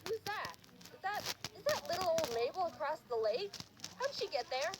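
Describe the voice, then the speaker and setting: A woman voicing an exasperated mother who yells bossily and shrilly, as if across a long distance, in a comic story. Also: extremely high pitch, big pitch swings, moderate pace, very noisy, voice pushed up. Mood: shocked. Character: frantic single mom yelling across a lake.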